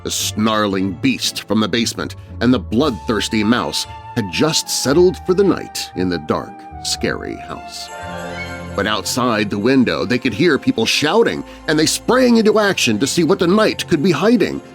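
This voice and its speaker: A man's voice: medium pitch, sing-song, moderate pace. A man narrating a spooky rhyming children's story in a theatrical, dramatic way. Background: music.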